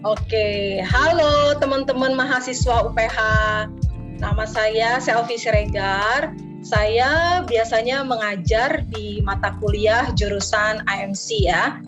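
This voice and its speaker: Jakarta-accented woman lecturer, measured. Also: a little high. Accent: Jakarta Betawi. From Jakarta, Indonesia. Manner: measured, many hesitations with eh. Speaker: university lecturer, guest. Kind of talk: podcast interview answers. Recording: music playing underneath.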